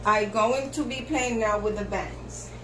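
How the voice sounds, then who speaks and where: A woman hairstylist whose first language is Spanish, giving slow step-by-step instructions for a haircut, with lots of repetition. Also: fairly high pitch, background noise. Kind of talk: haircut tutorial narration. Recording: echoey room with faint ambience.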